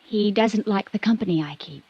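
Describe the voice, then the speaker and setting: A woman's voice: medium pitch, normal speed, breathy edge, dry recording. An actress playing a defiant, headstrong young woman from a rich household in a 1950s radio drama, blunt and dramatic. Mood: probing, blunt. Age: young adult. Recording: muffled old radio recording.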